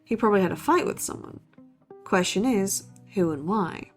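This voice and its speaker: A woman acts as a skeptical young college student co-hosting a true-crime podcast in an audio drama. Her voice sits at a middle pitch, with a dry, sardonic and analytical manner. Music plays faintly underneath. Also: expressive, moderate pace, close to an everyday voice. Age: young adult. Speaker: podcast co-host. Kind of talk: scripted banter in a horror audio drama.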